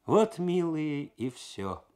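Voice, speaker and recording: A man voicing a calm, wry senior police detective in a dramatic radio story reading, low-key and resigned. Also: slow pace.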